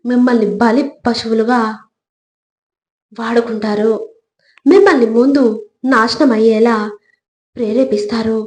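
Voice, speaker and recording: Woman doing motivational quote narration, kinda high voice, measured phrases, lots of echo on it. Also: darkish tone. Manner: deliberate, instructive, short measured phrases. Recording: echoey, reverberant voice track.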